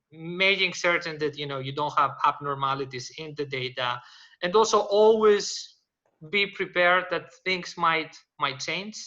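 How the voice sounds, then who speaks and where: A man from Greece, speaking as a data science panelist, halting with many fillers and restarts. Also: medium pitch, sharp tone, muffled recording. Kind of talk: panel discussion, unscripted explanation.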